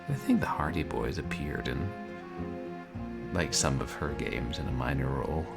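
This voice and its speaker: A man streaming a video game, chatting casually and soft-spoken with viewers, often chuckling. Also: extremely low pitch, normal speed, creaky. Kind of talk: casual let's-play chat. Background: game music.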